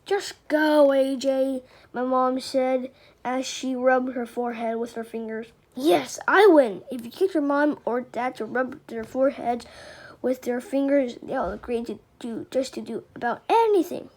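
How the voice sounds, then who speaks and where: Girlish high female voice reading a kids book out loud, soft mellow tone, trips on words n rereads. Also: normal speed. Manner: stumbles over words and rereads phrases. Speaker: read-aloud reader. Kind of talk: children's chapter book read-aloud.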